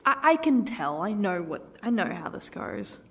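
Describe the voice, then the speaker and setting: A woman playing a sarcastic, defiant captive in an ASMR roleplay, swearing and slipping into breathy half-whispers. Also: medium pitch, sing-song, normal speed, fairly bright tone, a little hiss or hum. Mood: suspicious, defiant.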